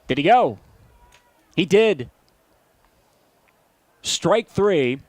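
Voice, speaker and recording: An American man calling baseball play-by-play as a broadcaster, animated and given to shouting on big plays. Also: high voice, extremely slow, dry recording. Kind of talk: live sports play-by-play commentary.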